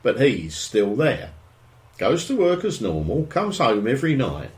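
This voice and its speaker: An actor playing a nosy, grumbling suburban husband with a morbid streak in an audio drama, speaking matter-of-factly with a British accent. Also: male voice, mid-range voice, very animated, unhurried. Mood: recalling, animated. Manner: grumbling, opinionated, matter-of-fact. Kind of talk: audio drama dialogue, naturalistic.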